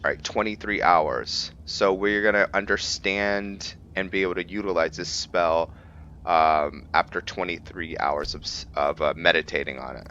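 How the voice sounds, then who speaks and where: A man doing casual commentary as he plays a roleplaying game, musing aloud in a halting way about his character. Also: low-pitched, sharp tone, dull audio, slight background noise. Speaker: gamer hosting a roleplay playthrough.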